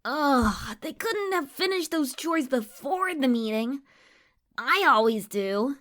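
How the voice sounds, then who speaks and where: A woman voicing a curious, brash young pony filly who spooks easily, in expressive acted dialogue for a fan fiction audio story. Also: high-pitched, slow pace, full character voice. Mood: grumbling. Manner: youthful, expressive, talking to herself.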